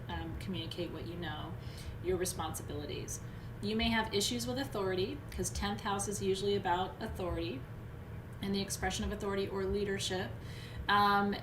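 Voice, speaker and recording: A woman teaching astrology to a live audience in a loose, chatty way, her voice hoarse and wearing out. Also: medium pitch. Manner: chatty, loose, many "um"s and restarts. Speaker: spiritual teacher reading astrology. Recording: roomy echo with steady background noise.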